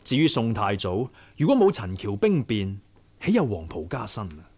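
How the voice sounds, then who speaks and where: An actor playing a courteous, persuasive young rebel leader in a Hong Kong Cantonese radio drama. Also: male voice, on the low side, big pitch swings, normal speed, a little mellow, husky, exaggerated voice. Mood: persuasive, earnest.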